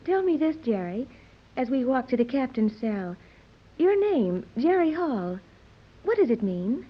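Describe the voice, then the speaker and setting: A woman's voice: on the high side, very animated, a little slow, slightly rough, full character voice. An actress playing an earnest, literal-minded young island woman who speaks formally, in 1930s radio serial style. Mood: earnest curiosity. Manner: formal, careful diction without contractions. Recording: dull old 1930s radio recording.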